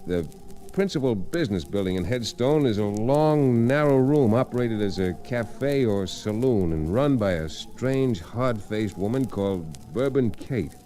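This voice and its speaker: An actor playing a wry frontier lawyer, narrating a 1940s radio Western in a folksy, unhurried way. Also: male voice, lowish voice, animated, dark tone. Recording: vintage radio recording with music.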